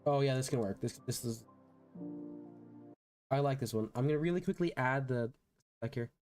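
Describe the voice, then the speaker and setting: A man chats casually to his livestream audience. He speaks haltingly and self-consciously, doubling back on words. Music plays behind his voice. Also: medium pitch, darkish tone. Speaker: VTuber streamer practicing voice acting. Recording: background music under the voice.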